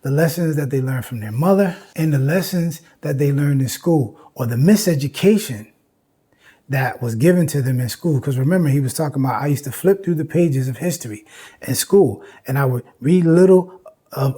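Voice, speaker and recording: A man lectures informally in a mellow, husky voice that sits a little high. He is emphatic, repeating phrases to drive points home and reciting lines as he goes. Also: moderate pace. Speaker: host and lecturer on music history.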